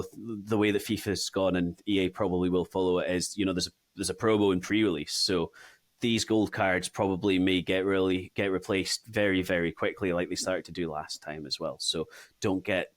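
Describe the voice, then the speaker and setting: A man's voice: low voice, steady tone, brisk, no echo. A man with a half English, half Scottish accent, chatting casually and conversationally as host of a gaming podcast.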